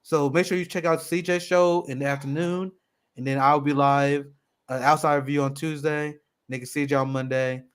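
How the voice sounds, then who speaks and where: A man's voice: on the high side, flat delivery, moderate pace, smooth. Upbeat man hosting a livestream. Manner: casual, upbeat. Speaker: host. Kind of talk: livestream show announcements.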